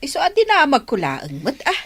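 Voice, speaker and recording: An actress with an Ilocano Filipino accent playing an expressive village councilwoman in a radio comedy drama. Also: high-pitched, a little fast, full character voice. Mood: questioning. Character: village councilwoman questioning her captain.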